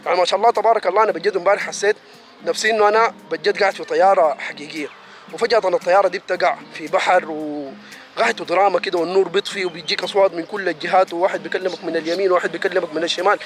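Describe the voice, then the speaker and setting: A man's voice: sits high, steady tone, faint music behind. A man vlogs about a city event. He chats casually and enthusiastically, speaking straight to his viewers. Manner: rapid, enthusiastic, addresses viewers directly. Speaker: vlogger reviewing a city event.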